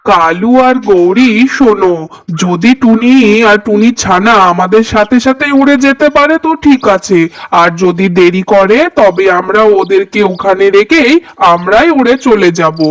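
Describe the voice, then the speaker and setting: Male cartoon pigeon, played by a man: high-pitched, urgent, bossy, slightly off-mic with faint music. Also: moderate pace, far from the mic, lightly acted. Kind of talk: children's cartoon voice acting.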